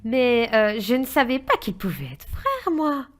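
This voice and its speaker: A woman as a high-pitched curious little cartoon girl. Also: a little slow. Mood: puzzled. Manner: inquisitive, expressive, childlike. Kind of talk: French children's cartoon dubbing.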